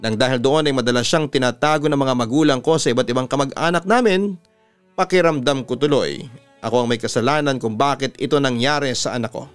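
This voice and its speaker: A man hosts a storytelling program with a smooth, resonant voice. He reads listeners' letters earnestly and with drama, like a practised radio announcer. Soft music plays beneath him. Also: medium pitch, a little fast. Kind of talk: dramatic letter reading and heartfelt narration. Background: music under the voice.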